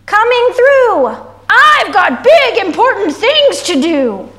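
A woman voicing a big, self-important, rude dump truck from a picture book, loud and blustering. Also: very high voice, very animated, takes it slowly, pitched above the performer's own voice, heavily acted. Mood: pompous, hurried.

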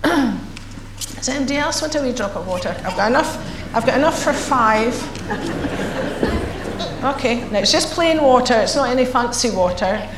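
A woman minister with a slightly croaky voice, leading a slow, measured prayer and then chatting playfully with children as she hands out water. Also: on the high side, lively intonation, noisy background. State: croaky, recovering voice. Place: church sanctuary. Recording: echoey church sanctuary.

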